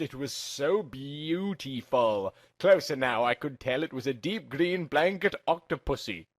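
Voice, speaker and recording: A man's voice: medium pitch, fairly bright tone, dry recording. A man narrating a first-person story in a theatrical, dramatic amateur reading style.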